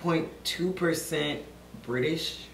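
Excited young woman vlogging in an echoey room. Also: deep voice, takes it slowly, gravelly, slight background noise. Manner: excited, gushing, addresses viewers as y'all. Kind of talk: casual vlog talk to camera. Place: living room at home.

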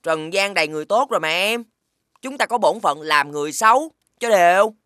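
A woman voicing a bossy older demon brother, chiding and devoted to wickedness, in an animated fairy tale. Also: fairly low pitch, brisk, dry recording, clean studio sound.

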